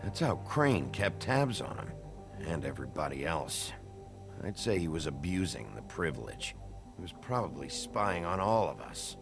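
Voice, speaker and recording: An actor playing a gruff, weary sheriff in a fantasy noir video game, terse and sardonic, with a gravelly voice. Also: male voice, low voice, sing-song, normal speed. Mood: terse, matter-of-fact. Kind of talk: video game dialogue acting. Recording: game music behind the voice.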